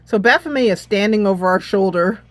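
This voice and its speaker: A woman hosting a let's play, chatting in expressive asides as she reads along with the game. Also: medium pitch, fairly quick, dry recording, soft background music. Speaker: gaming channel host. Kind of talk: let's play commentary, reading game dialogue aloud.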